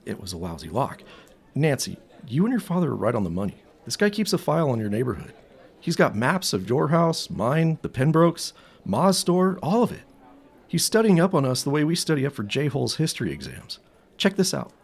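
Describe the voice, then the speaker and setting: An actor playing an earnest teenage boy sleuth in a period audio drama, secretive and boyish, with a husky voice. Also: medium pitch, big pitch swings, brisk, exaggerated voice. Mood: urgent.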